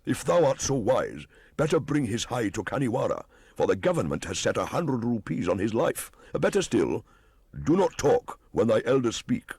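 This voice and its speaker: A man's voice: mid-range voice, very animated, moderate pace, a little mellow, husky, no room sound, voice pushed up. A man voicing a boastful, scornful old village hunter in a storybook reading. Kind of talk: storybook audiobook acting.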